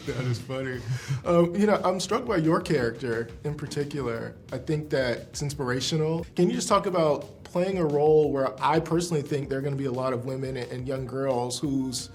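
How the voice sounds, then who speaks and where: A man's voice: mid-range voice, brisk. A man interviewing actors, asking friendly, complimentary questions. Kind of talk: entertainment press interview.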